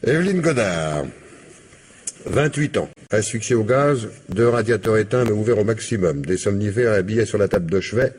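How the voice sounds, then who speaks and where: A man acting a brisk, weary, wry police commissioner in a French radio crime drama, with a French accent and blunt colloquial delivery. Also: fairly low pitch, lively intonation, a little mellow, slight background noise, lightly acted.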